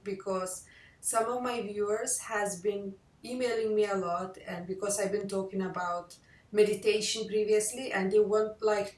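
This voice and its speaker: Lithuanian-accented woman, echoey and distant. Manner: earnest, slow and searching, with non-native grammar. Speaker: vlogger interviewing a guest. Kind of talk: personal interview chat. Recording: echoey room, voice off-mic, faint rumble.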